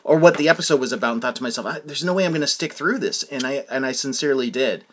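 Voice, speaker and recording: A man's voice: medium pitch, racing, fairly bright tone. A man interviewing a podcast host in a casual, earnest way, often stammering and restarting his sentences.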